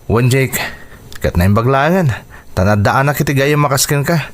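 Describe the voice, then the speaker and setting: A man's voice: medium pitch, expressive, moderate pace, lightly acted. A man acting in an Ilocano radio drama as a determined, hardworking boxer in training. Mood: earnest, determined.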